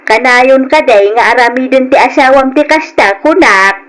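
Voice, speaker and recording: A woman's voice: high voice, moderate pace, a bit bright. An actress playing a concerned mother advising her grown son in an Ilocano radio drama. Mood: questioning, concerned.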